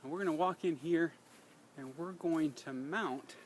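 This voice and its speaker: A man's voice: fairly high pitch, unhurried. An American man giving a casual how-to demonstration as host of a DIY video, in a folksy, rambling way, often correcting himself. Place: outdoors beside a vehicle. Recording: noisy on-location camera audio.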